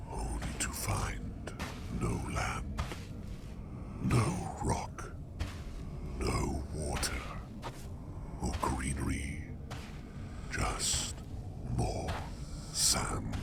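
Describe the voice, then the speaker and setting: An extremely deep, crackling sleep demon, murmurs in a courteous, gently eerie ASMR roleplay. He draws out formal phrases at a glacial pace. Heavy ambient noise sits behind the voice. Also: full character voice. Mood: brooding. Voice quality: very deep, gravelly, breathy half-whisper. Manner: measured, formal, drawn-out phrases with long pauses. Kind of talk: slow whispery ASMR roleplay.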